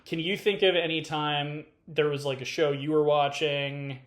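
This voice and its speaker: A man's voice: on the high side, moderate pace. Rambling laid-back Californian man. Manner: rambling, filler-heavy, animated. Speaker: podcast co-host. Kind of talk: casual conversation.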